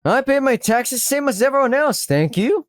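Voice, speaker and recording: Man putting on a high, sweet old granny. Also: very animated, quick pace, no echo, clean studio sound. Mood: politely firm. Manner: soft, sweet, politely firm. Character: sweet, soft-spoken, unflappable old shopkeeper granny with large ears.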